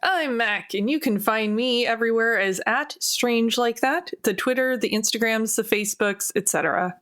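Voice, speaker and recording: A woman's voice: a little high, moderate pace, a bit bright. A woman playing a tabletop game on a podcast, bantering casually in a wry, sardonic way.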